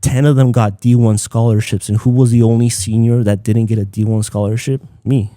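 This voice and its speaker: Man, Spanish speaker, relaxed dark tone, dry close sound, casual podcast storytelling. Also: medium pitch, fairly quick, no echo. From Southern California, United States. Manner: informal, peppered with "like" and Spanish phrases. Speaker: podcast guest, content creator.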